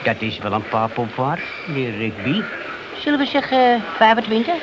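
An actor playing a small-time crook and informer in a Dutch radio play, wheedling, slangy and obsequious. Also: male voice, mid-range voice, wildly swooping pitch, normal speed. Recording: noisy old radio drama recording.

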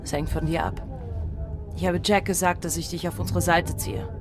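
A woman's voice: fairly low pitch, fairly even intonation, moderate pace, lightly acted. A woman playing a bold, proud female pirate in a radio drama, self-assured and teasing in manner. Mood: impassioned. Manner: defiant, probing, self-assured. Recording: music behind the voice. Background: music.

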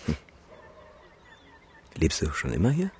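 Very deep-voiced, weary skeptical photographer. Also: male voice, wildly swooping pitch, takes it slowly, a little hiss or hum, heavily acted. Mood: wry, puzzled. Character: weary, skeptical male photographer documenting a disaster zone. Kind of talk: naturalistic radio drama acting.

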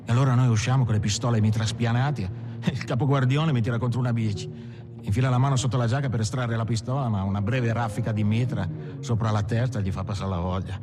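An actor playing a militant gangster who coolly gives first-person testimony in measured, clipped, emphatic short phrases. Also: male voice, medium pitch, steady tone, close to an everyday voice. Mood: intent, controlled. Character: militant gangster coolly recalling armed action. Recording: faint background noise and ambience.

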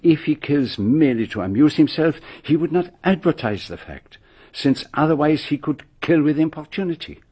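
Husky, courteous Belgian detective. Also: male voice, a little high, very animated, dark tone. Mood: brooding. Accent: French-speaking Belgian. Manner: formal, precise, deliberate phrasing. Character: courteous, methodical, brooding Belgian male detective. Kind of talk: radio drama acting.

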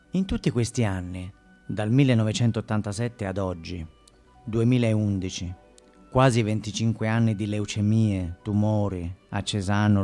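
A man narrating a scripted documentary monologue for the stage, measured and grave, with deliberate pauses. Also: fairly low pitch, dark tone.

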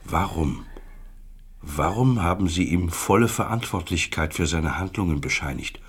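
Reproachful troubled man, very deep, husky, halting, insistent, radio play dialogue. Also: expressive. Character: troubled, reproachful man questioning an old professor. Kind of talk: German radio play acting.

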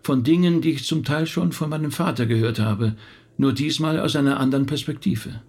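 A man playing a calm, thoughtful pastor in a radio drama, husky and speaking in a considered, patient way. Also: medium pitch, fairly quick, natural voice. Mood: firm, thoughtful. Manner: considered, patient, slightly indignant. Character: calm, thoughtful male pastor being questioned. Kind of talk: German radio drama acting.

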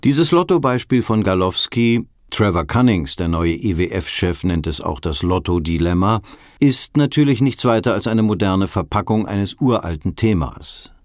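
An actor playing a measured, ironically earnest narrator in a satirical radio drama. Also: male voice, deep voice, lively intonation, no echo, clean studio sound. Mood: dry, explanatory. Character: wry male narrator of a financial satire.

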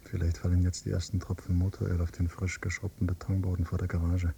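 A man's voice: completely flat delivery, fast, creaky, dark tone. A man playing a brooding, bitter adult son visiting his parents in a German radio drama, insistent and given to listing things. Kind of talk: German radio drama, alternating dialogue and inner monologue. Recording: rumbly, noisy cassette copy of radio play.

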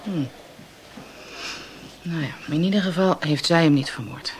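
An actress playing a brusque police detective in a radio drama, with a dry, sarcastic, blunt way of talking. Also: female voice, low-pitched, unhurried, a little hiss or hum. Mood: irritated, brisk. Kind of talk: naturalistic Dutch radio play acting.

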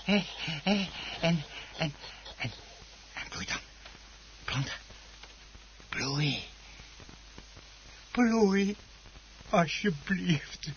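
An actor playing a deranged, murderous husband obsessed with his plant in a radio drama, halting and repeating himself. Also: sits high, animated, heavily acted. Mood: feverish muttering. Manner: halting, muttering, repeating words, manic laughter. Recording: dull, noisy old radio recording.

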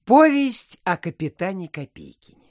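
An actor playing a garrulous provincial postmaster, telling a pompous, rambling tale full of fillers and dramatic pauses in an audio play. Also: male voice, sits high, big pitch swings, no room sound, studio quality. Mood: pompous storytelling. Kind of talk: theatrical storytelling in an audio play.

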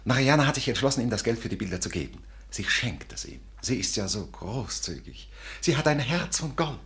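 An actor in a 1950s German radio play playing a suave, flattering gentleman with an effusive, courteous manner. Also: mid-range voice, brisk. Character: suave, smooth-talking, flattering gentleman suitor.

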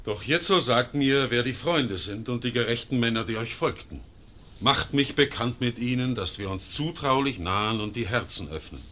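Male actor as a fervent mountain leader in a radio play; bright, piercing, declamatory. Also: mid-range voice, normal speed, slight background noise, lightly acted. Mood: measured. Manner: declamatory, rising to fervent oratory. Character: stern male confederate leader, rousing orator. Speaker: Swiss confederate leader addressing the assembly. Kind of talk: classic German radio play acting.

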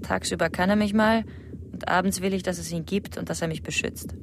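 A woman's voice: fairly low pitch, steady tone, brisk, creaky, music in the background. An actress playing an anxious, fearful wife in a radio drama, narrating her night thoughts in clipped fragments. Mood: anxious, detached. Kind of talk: radio drama inner monologue.

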